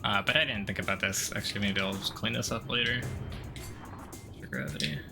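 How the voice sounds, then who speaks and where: A man's voice: lowish voice, animated, brisk, vocal fry. A man giving casual gameplay commentary, thinking aloud in a hesitant, self-deprecating mutter with some mild swearing. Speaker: gaming streamer playing through a game. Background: game music.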